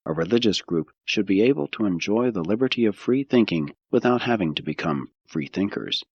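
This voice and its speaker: A man reading a scripted audiobook of religious philosophy in a measured, solemn, deliberate way. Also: lowish voice, expressive, breathy edge, no room sound, dull audio. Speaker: audiobook narrator.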